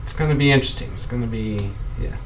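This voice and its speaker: A man talks casually through his finds in his own video, rambling and restarting his sentences. His voice sits at a middle pitch and sounds a little away from the microphone. A faint hiss lingers underneath. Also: quick pace, far from the mic. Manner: rambling, chatty, with many ums and restarts. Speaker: vlog host showing records. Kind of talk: casual show-and-tell. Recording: voice a bit off-mic, faint background noise.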